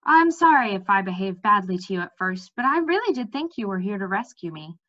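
Woman reading as a brisk, animated young princess. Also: mid-range voice. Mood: matter-of-fact. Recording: slightly dull webcam audio.

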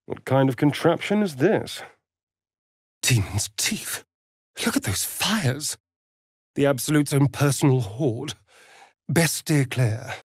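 An actor playing a vain, sardonic vampire rogue in a video game, with an arch, drawling, theatrical delivery. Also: male voice, mid-range voice, expressive, slow, long pauses, husky, no room sound, clean studio sound, full character voice. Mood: watchful. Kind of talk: video game character acting.

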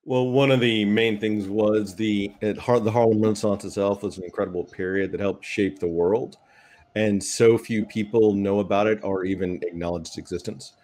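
Deep-voiced man explaining on a panel. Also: steady tone, normal speed, darkish tone. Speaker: game writer, panelist. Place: home room on a video call.

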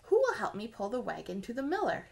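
A woman's voice: a little high, huge pitch swings, fast talker, gravelly, exaggerated voice. A woman voicing a hardworking little red hen who does every chore herself, earnest and finally fed up, in a storybook reading. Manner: earnest, pleading then exasperated.

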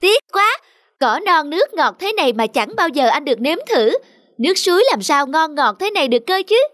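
Casting: boastful little cartoon goat, very high and forceful. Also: female voice, very animated, normal speed, no echo. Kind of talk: cartoon voice acting.

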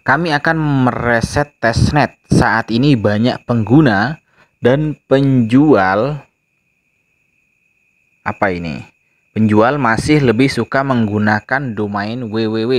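A man vlogging casually, reading social media posts aloud and explaining them with many filler phrases, voiced over a screen recording. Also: lowish voice. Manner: repeats "ya teman-teman ya" often, reads posts aloud then explains. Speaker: crypto news vlogger. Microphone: phone mic, voice-over on screen recording.

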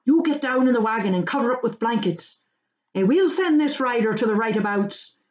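A woman's voice: fairly high pitch, expressive, a little mellow, voice pushed up, lightly acted. A woman voicing an anxious, loyal young male hobbit who speaks in a hurry, on slightly dull audio. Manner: anxious, hurried.

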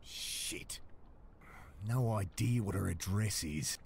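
Deep-voiced, weary brooding drifter. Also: male voice, slow pace, vocal fry, slight background noise. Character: weary, shaken drifter man, brooding narrator. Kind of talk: pulp thriller game narration and dialogue. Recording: dark synth music behind. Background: brooding synth score.

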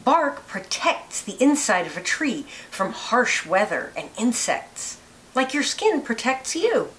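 A woman reading a children's picture book aloud with deliberate, expressive phrasing. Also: on the high side, slight background noise. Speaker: read-aloud narrator, teacher.

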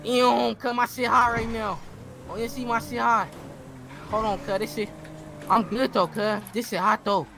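A man's voice: extremely high pitch, steady tone, normal speed, over music. A man role-playing in an online game, talking in agitated, repetitive street slang. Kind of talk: gaming role-play chatter in street slang. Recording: clearly noisy game voice chat with engine sounds.

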